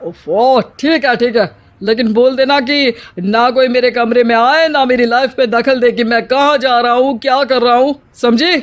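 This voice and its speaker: A man's voice: extremely high pitch, steady tone, normal speed, few pauses, slight background noise. A male actor playing a flirtatious, vain and irritable retired husband in his fifties in a comedy family story, with theatrical exclamations. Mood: irritated. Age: middle-aged.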